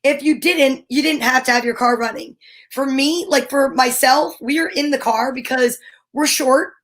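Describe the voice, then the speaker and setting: A woman talk show host chatting casually, telling stories in an animated, rambling way. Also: sits high, normal speed. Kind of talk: casual chat.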